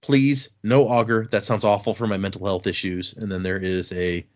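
A man hosting a podcast, chatting casually and reading listener emails aloud in a relaxed, halting way. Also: on the low side, highs cut off. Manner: relaxed, halting, with restarts and fillers. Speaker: podcast host.

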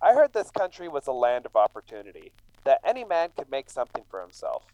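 A man's voice: mid-range voice, huge pitch swings, fast, warm tone, dry recording, lo-fi sound. An actor playing a scrawny, resentful immigrant man with an aggrieved, brooding manner in a radio drama. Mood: bitter, wounded. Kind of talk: true crime radio drama acting.

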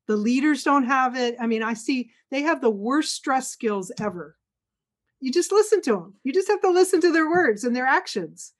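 A woman's voice: high-pitched, expressive, normal speed. A middle-aged woman guest in an interview, talking earnestly and emphatically and acting out what others say. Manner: earnest, emphatic, acts out quotes. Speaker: guest speaker. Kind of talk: conversational interview.

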